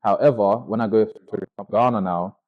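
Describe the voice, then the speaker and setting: Man, born in Belgium, London accent, lowish voice, dull muffled recording. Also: normal speed. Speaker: panel guest. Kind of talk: casual discussion.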